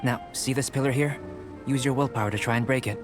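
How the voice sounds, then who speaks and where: A man playing a composed young alchemist in a video game, speaking in a measured, formal, analytical manner. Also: medium pitch, fairly even intonation, over music, lightly acted. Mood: curious, composed. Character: calm, courteous young male alchemist and researcher. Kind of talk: video game character dialogue.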